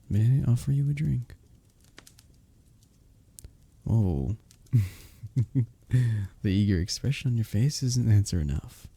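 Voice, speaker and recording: Man playing a dark-voiced, flirty medieval innkeeper. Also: fairly low pitch, no echo, full character voice. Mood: warm, hospitable. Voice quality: dark, husky, gravelly. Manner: formal old-fashioned diction, teasing, gently courteous. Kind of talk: romantic ASMR roleplay, scripted character acting.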